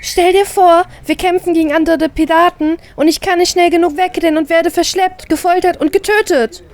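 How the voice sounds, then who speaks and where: A woman's voice: very high-pitched, fairly even intonation, fairly quick, pitched above the performer's own voice. A woman voicing a dramatic young little sister who pouts and pleads in a whiny way. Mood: excited pleading. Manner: whiny pleading, exaggerated pouting.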